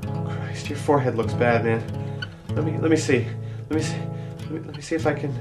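A man's voice: fairly high pitch, brisk, loud music behind the voice, close to an everyday voice. A young man playing a frightened, pleading teenage boy in a horror audio drama, stammering and repeating himself. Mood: nervous, worried. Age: young adult.

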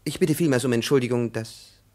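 An actor playing a timid, over-polite elderly professor in a 1950s radio play, halting and profusely courteous. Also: male voice, mid-range voice, darkish tone, natural voice. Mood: apologetic, courteous. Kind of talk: 1950s German-language radio play acting. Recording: 1950s radio drama recording.

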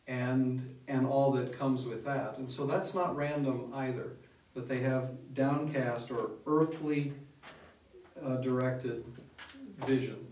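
An older man, a priest, explains scripture in a measured, unhurried way. He sits at a dining table, recorded by a camera across the room. The room sounds echoey. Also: mid-range voice, a little mellow, distant mic. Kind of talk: casual talk on scripture. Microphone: far camera.

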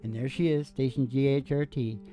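A middle-aged man with a mellow, relaxed voice tells rambling, playful stories as a show host. He drifts into joking asides at an unhurried pace, with guitar playing softly behind him. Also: mid-range voice, low-quality recording. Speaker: host of a music and comedy show. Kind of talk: folksy rambling stage patter, storytelling. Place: small dim curtained stage room. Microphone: stand microphone. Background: acoustic guitar.

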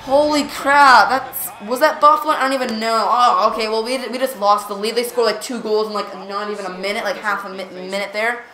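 A woman giving excited play-by-play of a hockey video game, stuttering and repeating words as she reacts. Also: on the high side, fairly quick, hardly any pauses. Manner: jumpy, reactive, lots of "come on" and "here we go". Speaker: gaming channel host. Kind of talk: excited live gameplay commentary. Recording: roomy echo.

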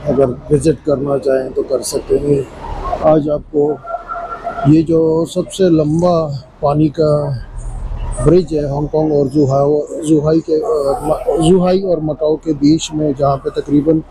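A man giving casual on-location tour commentary as a travel vlogger, explaining things in a halting way with pauses mid-sentence. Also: fairly high pitch, steady tone. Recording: noisy on-location recording with vehicle ambience. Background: clear ambient travel and vehicle noise.

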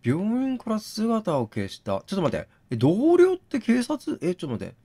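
A man doing gaming commentary, thinking out loud and reasoning step by step, mixed with reading text aloud. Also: high-pitched, wildly swooping pitch, moderate pace. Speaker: game streamer reading on-screen text aloud.

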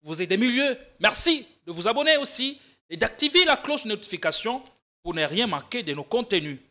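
A young man hosting a political studio show speaks in a high, bright voice. He is animated and energetic, pressing questions into a desk microphone. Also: fairly quick. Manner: animated presenter, energetic intro. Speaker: TV talk show host. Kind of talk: political interview.

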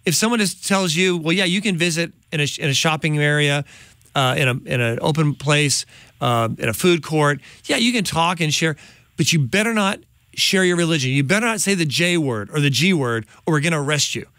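A man's voice: on the high side, a little fast, sharp tone, dry recording. A middle-aged man hosting a studio interview, asking emphatic, indignant questions. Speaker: host. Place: studio with large window. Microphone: studio microphone on boom.

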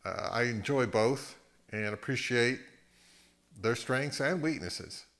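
A middle-aged man hosting a casual explainer at home, speaking in a measured, halting way. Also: mid-range voice, a bit bright. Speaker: host of an audio hobby channel. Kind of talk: casual explainer to camera with some narration. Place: home living room.

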